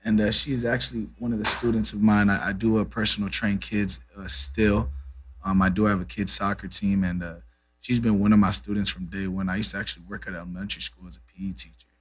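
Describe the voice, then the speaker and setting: A man's voice: fairly low pitch, flat delivery, quick pace, breathy edge. A man in his thirties from Brazil, a native Portuguese speaker, chatting casually as a guest musician, laid-back and full of "you know" and "man". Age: 30s. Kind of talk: casual interview chat.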